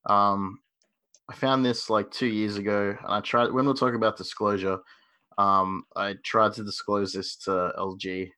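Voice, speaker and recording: Bright-voiced man thinking aloud. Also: on the low side, level tone, moderate pace. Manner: rambling, thinks aloud with fillers. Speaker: co-host. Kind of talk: casual chat.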